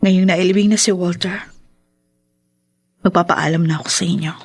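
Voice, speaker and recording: A woman's voice: on the low side, steady tone, moderate pace, breathy edge. A woman playing a hurt but resolute grown daughter in a radio drama, speaking earnestly and with feeling. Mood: regretful, resolute. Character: wounded grown daughter, resolute, regretful and forgiving. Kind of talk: Tagalog radio drama acting.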